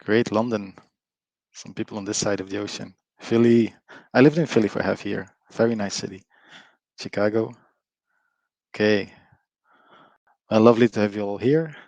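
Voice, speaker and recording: A middle-aged man with a Flemish Belgian accent presents a webinar in a lowish voice. He is chatty, peppers his talk with fillers and keeps asking his audience to join in. The sound is dry and close. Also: moderate pace, long pauses, darkish tone, no echo, highs cut off. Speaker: webinar presenter, company co-founder. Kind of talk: live online presentation.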